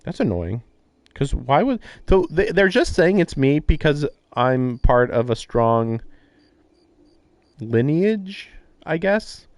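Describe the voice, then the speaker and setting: A man giving casual live-stream commentary on a game, thinking aloud in halting, musing fragments. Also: a little high, sing-song, no echo. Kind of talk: casual live commentary on a visual novel playthrough. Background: music.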